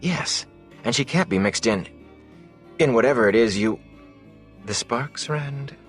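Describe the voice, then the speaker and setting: An anxious young woman from a fantasy storybook, acted out by a man, speaks in a hushed, urgent way. The voice is raised and secretive, with music faintly behind it. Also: on the low side, voice pushed up.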